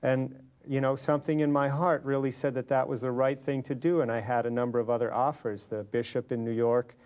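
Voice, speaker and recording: A man's voice: mid-range voice, fairly even intonation, fairly quick, darkish tone, clear, resonant tone. American man sharing a personal testimony. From Los Angeles, California, USA. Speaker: interview guest, lay businessman.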